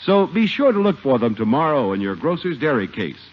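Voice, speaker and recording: Lively male 1950s radio announcer, dull recording. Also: medium pitch, fairly quick. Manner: polished, emphatic sales delivery. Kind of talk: 1950s radio advert and scripted narration. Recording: old, dull 1950 radio broadcast with music.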